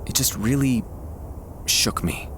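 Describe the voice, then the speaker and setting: A man playing a shy, tender young man confessing love to a childhood friend, hesitant and soft-hearted, in an intimate ASMR roleplay. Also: mid-range voice, slight background noise. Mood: fond, nostalgic. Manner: soft-hearted, hesitant, halting with nervous chuckles. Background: quiet park ambience.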